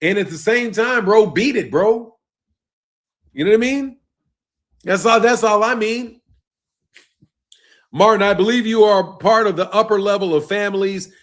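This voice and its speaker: A fairly high-pitched man. He leans hard on "bro" and "man," and his voice sinks low and quiet as each phrase trails off. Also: unhurried, long pauses. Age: 50s. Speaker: boxing channel host. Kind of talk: casual live-stream rant reading chat.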